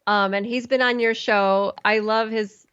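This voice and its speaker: A woman's voice: a little high, fairly even intonation, a little slow, fairly bright tone, smooth, dry recording, muffled recording. A woman who is a classical musician, chatting on a podcast in a rambling way, with restarts and ums. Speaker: classical musician and podcast host, guest here. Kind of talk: casual podcast conversation.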